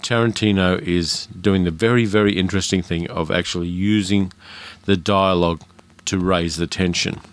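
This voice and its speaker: A man's voice: deep voice, fairly even intonation, moderate pace. A man with an educated Australian accent, hosting his own film podcast in a frank, chatty way with fillers and restarts. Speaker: podcast host. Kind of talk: casual solo podcast monologue.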